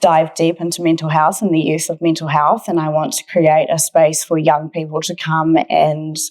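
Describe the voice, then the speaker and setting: Podcast guest: New Zealand woman, twenties, slightly low register, earnest, conversational personal storytelling. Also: flat delivery, moderate pace, darkish tone. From Auckland, New Zealand. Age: 20s.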